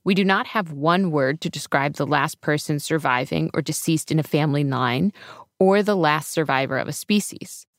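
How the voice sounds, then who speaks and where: A woman's voice: sits low, normal speed, no room sound, studio quality. A woman reporting in a conversational, informal storytelling style, leaning on key phrases. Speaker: radio science correspondent and reporter.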